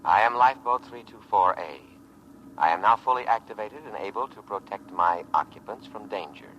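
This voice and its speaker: An actor playing a calm, pompous, paranoid war machine, a talking lifeboat, with solemn, measured oratory in an old radio drama. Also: male voice, on the low side, slight background noise, lightly acted. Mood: proudly reassuring. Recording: muffled vintage radio broadcast recording.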